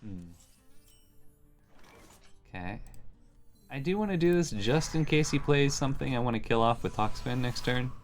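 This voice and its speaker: A man giving casual gameplay commentary, thinking aloud as he plays. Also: medium pitch. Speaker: host playing a card game.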